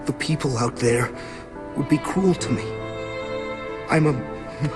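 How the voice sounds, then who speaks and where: An actor playing a gentle, sheltered young man in a video game, meek, hesitant and apologetic. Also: medium pitch, measured pace, in a large hall, natural voice. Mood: meek, trusting. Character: timid, gentle, sheltered young male bell-ringer, self-doubting. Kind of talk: video game cutscene dialogue. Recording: game audio with loud music behind.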